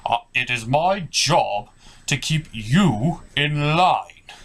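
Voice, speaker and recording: A man's voice: a little high, lively intonation, takes it slowly, fairly bright tone, full character voice. A man voicing a stuffy, stern butler puppet with a formal, pompous manner in a comedy skit.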